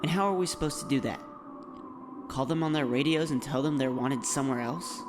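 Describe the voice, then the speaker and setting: A man's voice: medium pitch, a little fast, noisy background, natural voice. A man playing an anxious, skeptical teenage boy in a dramatized audiobook, exasperated and doubting.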